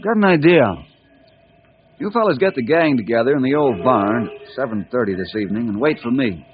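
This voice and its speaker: A man's voice: mid-range voice, animated, brisk, natural voice. An actor playing an earnest, kindly American man who mentors boys, in an old-time radio drama. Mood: purposeful. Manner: reassuring, wholesome, earnest. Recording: muffled old radio broadcast recording.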